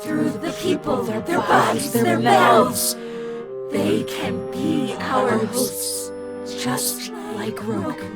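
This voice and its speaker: A woman playing a huge, rapturous and menacing plant-fungus hybrid in a sci-fi audio drama, halting and breathy with labored wheezes. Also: on the high side, loud music behind the voice. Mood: menacing, hungry. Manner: slow, halting, reverent delivery. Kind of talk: science-fiction audio drama acting.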